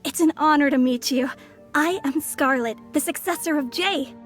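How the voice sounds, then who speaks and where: A woman's voice: sits high, normal speed, soft background music, natural voice. A woman voicing a sly, poised young outlander schemer in a video game, teasing and theatrically polite. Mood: poised, mysterious. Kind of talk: English video game voice acting.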